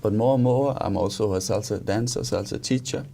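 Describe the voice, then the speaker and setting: Deep, mellow, halting Danish man. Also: vocal fry. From Denmark. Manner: halting, with repeated words. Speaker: interview guest, author and engineer. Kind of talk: conversational self-introduction.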